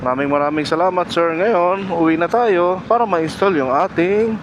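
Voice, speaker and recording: A man's voice: sits high, moderate pace. A Filipino man chatting casually and playfully as a motovlog host out on the road, mixing in English phrases. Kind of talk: casual vlog chat. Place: outdoors, riding a motorbike on the road. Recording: noisy outdoor road and vehicle noise. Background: traffic and vehicle noise.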